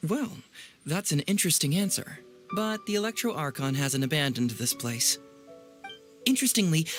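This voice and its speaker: An actor playing a clever, playful young male detective in an anime video game, musing theatrically and teasingly. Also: sits high. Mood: intrigued, musing.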